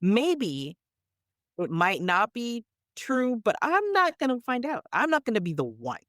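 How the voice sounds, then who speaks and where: A woman's voice: a little high, very animated, measured pace, fairly bright tone, no room sound, clean studio sound. A woman from Canada chatting on a podcast as a storyteller guest, emphatic and reflective in how she talks. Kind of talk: conversational podcast chat.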